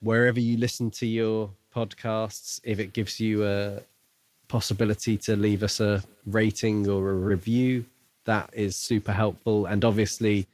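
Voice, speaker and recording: A man with a fairly low voice co-hosts a book podcast in a dry, close-sounding recording. He talks casually and phrases things in a measured, hedging way. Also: flat delivery, darkish tone, no echo. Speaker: podcast co-host.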